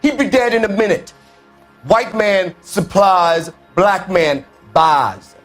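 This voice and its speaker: A bitter high-voiced jazz musician. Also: male voice, takes it slowly, soft background music. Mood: angry. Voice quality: high, heated and emphatic. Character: bitter angry male nightclub musician. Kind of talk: 1940s noir game acting.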